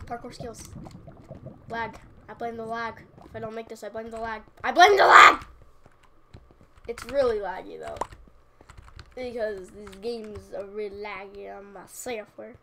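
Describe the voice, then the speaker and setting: A young woman with a sniffly cold, chatting casually and joking at herself while playing a game. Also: on the high side. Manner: chatty, self-mocking, playful outbursts. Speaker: gaming channel host. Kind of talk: casual gameplay commentary. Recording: audible background noise and keyboard clicks.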